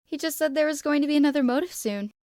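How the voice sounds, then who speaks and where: A woman's voice: high voice, quick pace, darkish tone, no room sound, clean studio sound, voice pushed up, close to an everyday voice. A woman voicing a cheeky teenage boy prankster with a playful, mocking, teasing manner, in an anime fan story. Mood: brash.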